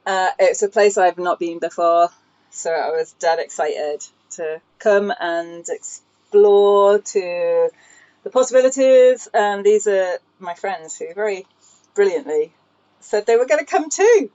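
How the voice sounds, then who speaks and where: A woman's voice: mid-range voice, expressive, measured pace, darkish tone, smooth. A middle-aged woman chatting casually as a podcast guest, a boat skipper, on a slightly lo-fi recording. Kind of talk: casual interview chat.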